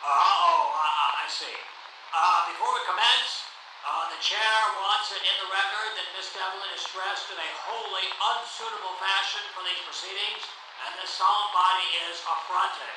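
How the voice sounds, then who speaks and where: A man's voice: high-pitched, normal speed, lightly acted. An actor playing a stuffy, flustered 1950s congressional chairman in radio drama style, pompous and stammering. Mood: flustered, officious. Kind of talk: 1950s newsreel hearing, radio drama acting. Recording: very echoey hall, noisy mock newsreel soundtrack.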